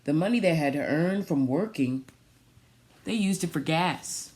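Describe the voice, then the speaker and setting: Female storytime reader, fairly low voice, very unhurried, reads picture-book text and points things out to young listeners. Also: expressive, darkish tone. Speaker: storytime reader for a children's theatre. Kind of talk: read-aloud picture book storytelling with asides.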